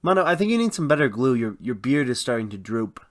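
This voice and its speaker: A male co-host trades comic banter on a radio show. His mid-pitched voice moves up and down with lively intonation. The recording is dry. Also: quick pace, no room sound.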